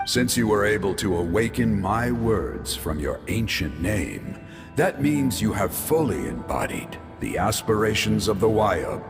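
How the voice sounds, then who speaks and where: A grave, noble giant of a warrior from a fantasy game. The voice is low and gravelly, and it swells and falls widely as it makes its solemn pronouncements. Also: male voice, big pitch swings. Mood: grand, exhorting. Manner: grave, measured, oratorical. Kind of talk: dramatic fantasy game acting. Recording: long cavernous reverb over music.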